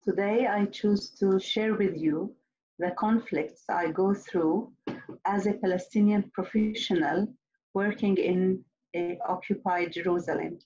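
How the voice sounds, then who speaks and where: A woman's voice: mid-range voice, fairly even intonation, measured pace, darkish tone, slight background noise. A middle-aged woman whose first language is Arabic, giving a prepared panel talk over a video call, earnest and hesitant, with frequent restarts. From Nazareth. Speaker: panelist, clinical psychologist. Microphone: laptop webcam mic on a video call. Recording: dull lo-fi video call audio.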